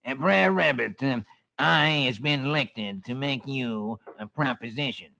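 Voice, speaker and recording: A man's voice: mid-range voice, a little slow, creaky, pushed and bright. A man voicing a dopey, scheming buzzard who drawls and hesitates, in an old radio comic-strip reading. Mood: wheedling. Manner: drawling, hesitant with uhs and ums. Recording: dull, noisy vintage radio recording.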